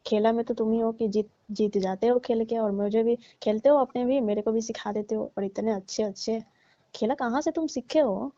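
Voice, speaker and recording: Woman playing a coaxing, mellow-voiced flirty auntie. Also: a little high, moderate pace, dry recording, lightly acted. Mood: flattering. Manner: coaxing, teasing, playful. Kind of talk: staged comic phone-call conversation. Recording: dull, lo-fi phone call recording.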